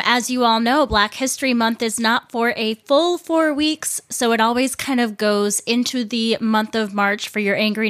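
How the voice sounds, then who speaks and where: A woman's voice: medium pitch, a bit bright, no echo, studio quality. A woman hosting her own podcast in a casual, chatty way, a little congested, often repeating words for emphasis. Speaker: podcast host. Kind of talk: casual solo podcast talk.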